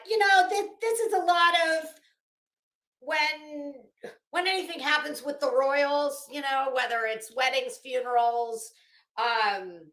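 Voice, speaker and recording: A woman's voice: sits high, pushed and bright. A woman chatting casually as a livestream host, rambling and theatrical, often breaking off mid-thought and starting again. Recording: echoey room sound.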